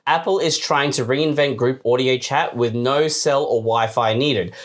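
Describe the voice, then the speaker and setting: This is a podcast co-host with a mid-pitched voice, bantering casually about the news. The delivery is sarcastic and comedic, with words often repeated in quick runs.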